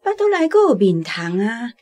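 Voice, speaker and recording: A scolding village mother in a radio drama, high-voiced and very lively in pitch. She questions briskly and with exasperation, in a Taiwanese accent. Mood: suspicious scolding. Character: suspicious, scolding countryside mother of a small boy.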